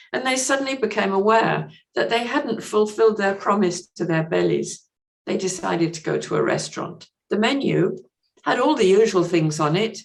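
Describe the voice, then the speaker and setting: A woman's voice: mid-range voice, gravelly. A woman speaking as a podcast guest on literature, articulate and explanatory. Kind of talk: literary discussion and reading aloud.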